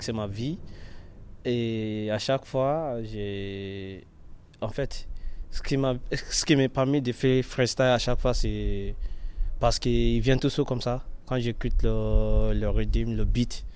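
Young man from western Nigeria, Yoruba native speaker, slow halting speech, faint room hum. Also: lowish voice, darkish tone. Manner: hesitant, many fillers and restarts. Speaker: interviewee, artist and freestyle rapper. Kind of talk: casual interview in learner French.

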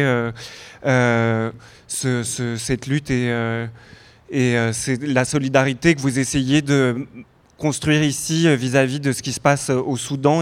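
Smooth-voiced man moderating a meeting. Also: medium pitch. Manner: halting, with many fillers. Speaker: event moderator.

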